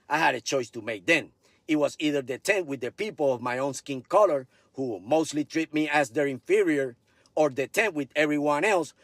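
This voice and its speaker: A man's voice: a little high, no room sound, clean studio sound. A man in his fifties from Puerto Rico, with a Puerto Rican Spanish accent and Spanish as his first language, telling a personal story in a blunt, profane way with Spanish asides. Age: 50s. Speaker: veteran storyteller.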